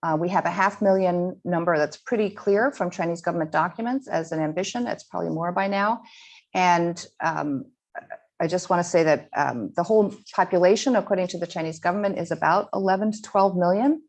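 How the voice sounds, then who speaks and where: A woman giving a slide presentation to a school audience, earnest and halting, with frequent ums and restarts. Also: lowish voice, a little mellow. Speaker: guest speaker presenting slides.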